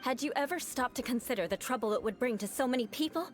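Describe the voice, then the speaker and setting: A woman's voice: on the high side, expressive, fast, faint music behind, natural voice. A young woman voicing a proud, aloof noblewoman knight in a video game, speaking in a cool, composed and dry manner.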